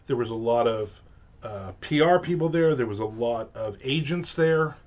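A man's voice: mid-range voice, expressive, moderate pace, darkish tone. Middle-aged New Jersey man, casual chatty author livestream, home office, slightly muffled webcam sound. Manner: rambling, chatty, lots of fillers and restarts. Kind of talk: casual chat. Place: home office with shelves of collectibles.

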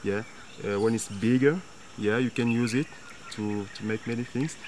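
A man's voice: fairly low pitch, normal speed, darkish tone. A young man explaining things informally, searching for words in non-native English. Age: young adult. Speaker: local companion demonstrating fishing. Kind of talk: informal demonstration. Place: outdoors in jungle at a riverbank. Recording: clear insect and jungle noise.

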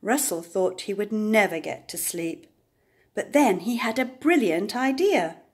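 An older woman reading a storybook aloud to young children, deliberate and expressive, with dramatic emphasis. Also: mid-range voice.

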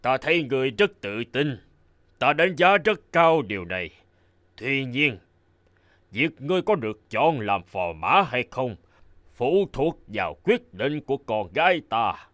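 Stately, animated cartoon king. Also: mid-range voice, measured pace, dry recording. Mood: measured, stern. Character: aging bearded king, proud, fatherly, stately ruler. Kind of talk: cartoon fairy tale dubbing.

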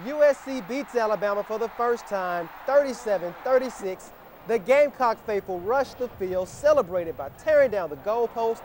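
A male sports anchor narrating football highlights with excited play-by-play energy. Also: background noise.

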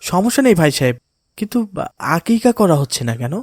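A man voicing a pious, kindly father in a moral cartoon, giving gentle counsel in a calm, polite, instructive way. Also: high-pitched, fairly quick, dry recording. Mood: puzzled, polite. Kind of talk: cartoon voice acting in moral story.

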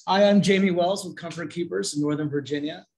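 A man gives a brisk, promotional self-introduction at a meeting. His voice rides slightly high for a man, and he trails off quieter toward the end. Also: a little hiss or hum. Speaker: home care representative.

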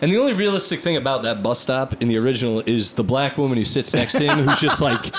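A man's voice: medium pitch, lively intonation, fast talker, heavy background noise. A thirty-year-old man hosting a casual comedy chat in a boisterous, profane, joking manner. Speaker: podcast host.

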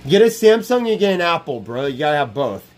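A man with a slightly high voice talks loosely and profanely on a live stream from a small room. He circles back and repeats his points, bragging and riffing with chat. His earbud mic sits close to his mouth. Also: very animated, fairly quick. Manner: brash, profane, repeats himself. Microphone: wired earbud headset mic.

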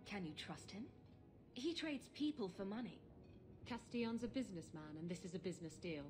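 An actress playing a bold, sly pirate adventurer in a video game, brash, teasing and self-assured. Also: female voice, medium pitch, lively intonation, moderate pace, over music, close to an everyday voice. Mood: confident, persuasive. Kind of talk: scripted video game dialogue. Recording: game audio with clear background noise.

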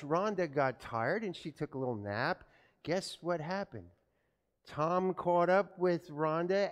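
A man's voice: high-pitched, clean tone. Man telling children a story. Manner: animated storytelling, asks children questions. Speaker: pastor. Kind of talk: children's sermon. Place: church sanctuary. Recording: echoey church from far off.

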